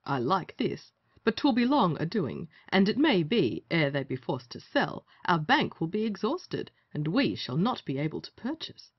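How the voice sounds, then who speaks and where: Lively, wry gallant played by a woman. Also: fairly low pitch, moderate pace, few pauses, slightly rough, no echo, muffled recording. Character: sardonic male gallant, the rake's teasing companion. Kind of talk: period stage comedy acting.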